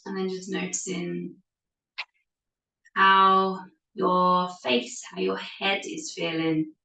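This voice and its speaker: A woman leads a yoga class from some distance to the microphone, her voice echoing in the room. She speaks very slowly and gently, leaving pauses as she guides the meditation. Her delivery is soothing and unhurried. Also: on the low side, steady tone, creaky. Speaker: yoga teacher.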